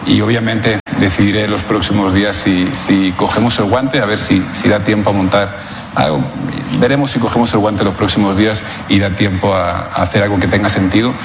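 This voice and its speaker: A man's voice: lowish voice, fairly even intonation, brisk. A man from Spain speaking off the cuff to questions at a conference, hesitant and diplomatic, repeating phrases as he goes. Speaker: businessman answering press questions. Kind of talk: spontaneous public remarks. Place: conference venue. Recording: echoey room, clear background noise.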